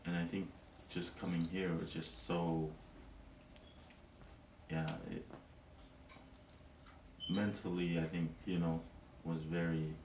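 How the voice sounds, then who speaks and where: A man from Tonga speaks in a low, deep voice. He talks in a casual, reflective way and often searches for words with "you know". He is recorded from a distance in an echoey room, with faint noise behind him. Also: level tone, slow, long pauses, a little mellow. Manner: thoughtful, many "you know" fillers. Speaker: interviewee.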